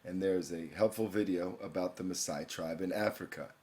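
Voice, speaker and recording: A man's voice: deep voice, a little fast, a little mellow. A young man, a teacher, walking through an online course in a screencast, explaining each page and reading questions aloud. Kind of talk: screencast walkthrough narration.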